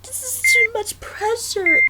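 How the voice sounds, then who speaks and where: A very high, youthful male cartoon fox played by a performer speaks slowly and haltingly, full of earnest worry. He is a gentle friend in an audio drama. The recording is very noisy. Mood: shocked, guilty. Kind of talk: dramatic ASMR roleplay acting.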